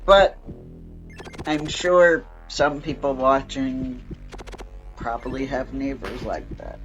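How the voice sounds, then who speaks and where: A man's voice: mid-range voice, takes it slowly, a bit bright, poor audio. A man with a nasal, stuffy voice from allergies, chatting casually and a bit apologetically as a let's play host at home. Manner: rambling, apologetic, chatty with fillers. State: chest bothered from bonfire smoke. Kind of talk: casual chat while gaming. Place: home basement. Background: game music.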